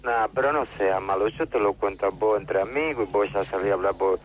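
An actor playing a shady, evasive political fixer with a Buenos Aires accent, chummy and rambling in a radio comedy sketch. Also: male voice, fairly low pitch, a little fast, slight background noise, lightly acted. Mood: conspiratorial. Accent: Argentine, Buenos Aires. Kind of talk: improvised radio sketch, mock interview. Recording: dull lo-fi phone line.

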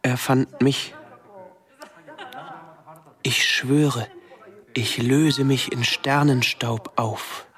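An actor playing a withdrawn, guilt-ridden young man, recounting his memories haltingly and introspectively in a radio drama monologue. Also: medium pitch, fairly even intonation, a bit bright. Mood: haunted. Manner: slow, halting, introspective delivery in short phrases.

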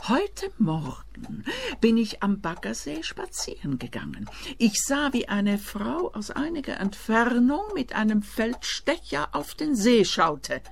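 A shrewd widow out for money in a radio play. Her voice is fairly high and very animated, rising and falling theatrically as she presses her case with emphasis. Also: measured pace, creaky, heavily acted. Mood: insistent, conspiratorial. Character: shrewd, insistent widow after a reward. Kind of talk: German-language radio play acting.

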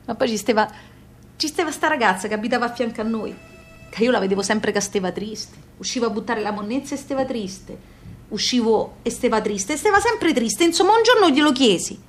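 A woman's voice: a little high, animated, brisk, faint music behind, close to an everyday voice. A woman playing a plain-spoken, nostalgic emigrant mother in a radio drama, with a Neapolitan-tinged Southern accent and colloquial, repetitive phrasing. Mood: wistful, regretful. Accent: Neapolitan-influenced Southern Italian. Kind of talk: theatrical radio monologue, intimate storytelling.

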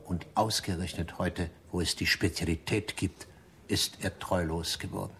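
An actor playing a genial, courteous gentleman in a radio drama, speaking in a measured, urbane way. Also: deep voice, steady tone, gravelly, a little hiss or hum, exaggerated voice. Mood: wistful. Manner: measured, urbane, politely curious. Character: genial, courteous gentleman, a seasoned restaurant regular. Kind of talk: German radio drama acting.

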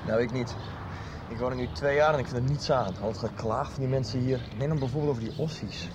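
A man's voice: mid-range voice, quick pace, background noise, close to an everyday voice. An actor playing a grumpy man who complains dismissively about his city. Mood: grumbling. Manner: grumbling, dismissive. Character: grumpy young man living in Berlin. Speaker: character in a radio soap.

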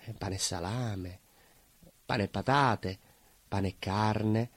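An actor playing a simple, proud working-class baker in a stage monologue, earnest and conversational, with a southern Italian accent. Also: male voice, fairly low pitch, slow pace, breathy edge, dry recording. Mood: animated, earnest. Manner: naive, earnest, emphatic, conversational. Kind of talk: theatrical monologue, colloquial storytelling.

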